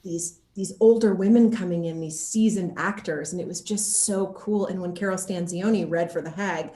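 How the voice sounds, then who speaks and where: A woman chatting casually as an interview guest, animated and given to acting out what people said. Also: on the low side, normal speed. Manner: animated, acts out quoted reactions. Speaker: guest, actor interviewed about game casting and motion capture. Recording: echoey room sound.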